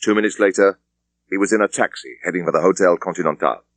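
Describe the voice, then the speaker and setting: A man with an English accent reading a thriller audiobook in a measured, precise way. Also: low voice, no echo. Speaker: audiobook narrator. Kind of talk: scripted thriller narration.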